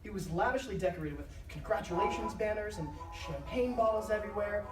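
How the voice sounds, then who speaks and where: A woman's voice: medium pitch, fast talker. Distant, echoey woman narrating conversationally. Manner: conversational, wry asides. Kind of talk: casual scripted narration in a live show. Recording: echoey live stage, distant, music behind.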